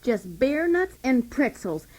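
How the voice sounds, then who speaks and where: Woman playing a slow, folksy, aggrieved former hostage. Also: sits high, full character voice. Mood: plaintive. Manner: folksy, plaintive, deadpan. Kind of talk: satirical radio theater interview.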